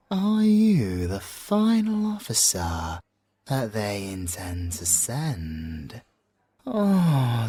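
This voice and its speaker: A British man playing a suave, flirtatious, possessive siren in an ASMR audio roleplay, teasing and smugly self-assured, with a breathy, husky voice. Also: mid-range voice, a little mellow, dry recording, full character voice. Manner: slow, drawn-out teasing delivery with whispered phrases.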